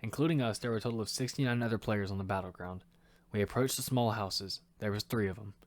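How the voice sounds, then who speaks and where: A man's voice: lowish voice, fast talker, close to an everyday voice. A man playing a determined young fighter who narrates his own survival story in an amateur audio drama. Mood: dramatic narration. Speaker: narrator and lead actor. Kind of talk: first-person narration of amateur audio fiction. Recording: clearly noisy amateur recording with clicks.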